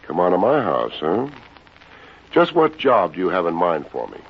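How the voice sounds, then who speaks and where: An actor playing a wisecracking, unsentimental private investigator in an old radio detective drama. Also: male voice, low-pitched, huge pitch swings, normal speed, breathy edge, full character voice. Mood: wry. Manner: wry, hard-boiled, slangy. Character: wisecracking, unsentimental male private investigator narrating his case. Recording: dull, noisy old radio recording.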